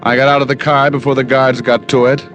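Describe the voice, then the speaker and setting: An actor playing a wisecracking private detective, narrating in an old radio drama. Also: male voice, medium pitch, level tone, fast, muffled recording, over music, lightly acted. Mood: grim. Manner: dry wisecracking, clipped narration. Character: hard-boiled male private eye, wry. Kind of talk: 1950s radio drama acting.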